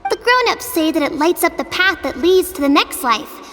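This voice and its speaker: A woman voicing a lively, candid and innocent young child in a video game. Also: extremely high pitch, moderate pace. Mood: matter-of-fact. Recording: long reverb. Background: music.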